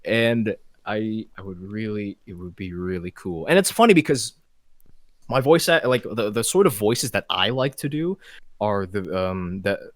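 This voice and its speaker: A man with a low voice, a guest voice actor chatting casually in an interview, often stammering and restarting as he talks. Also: lively intonation, a little slow, no echo, clean studio sound.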